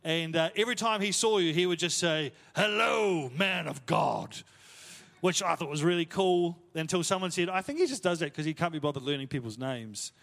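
Chatty preacher in a reverberant hall. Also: male voice, a little high, lively intonation, normal speed, gravelly, fairly bright tone. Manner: conversational, self-deprecating, lots of fillers. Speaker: pastor. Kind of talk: sermon storytelling.

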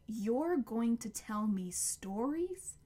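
Woman reading as a wary boy, unhurried. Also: medium pitch, vocal fry, a little mellow, husky. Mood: sceptical. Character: wary, disbelieving boy facing a monster.

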